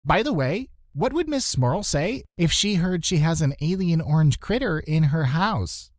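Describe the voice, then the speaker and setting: A man's voice: on the high side, expressive, unhurried, no room sound, studio quality, voice pushed up. A man voicing a bossy, snide young girl in a children's storybook, with a sneering, commanding manner.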